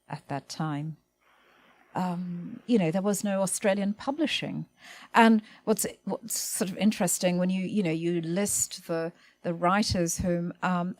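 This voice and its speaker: A woman speaking informally in a panel discussion, reflective and tentative, halting as she forms her thoughts. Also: mid-range voice, a little mellow.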